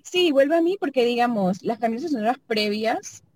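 A woman, a sound artist and double bass player, talking casually and reflectively about her work, thinking aloud and often adding tag questions. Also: lively intonation, normal speed, a little mellow, no echo.

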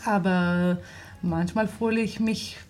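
A woman from Israel with an Israeli accent, an actress speaking slow, hesitant German with many fillers in a radio studio interview. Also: lowish voice, steady tone, darkish tone.

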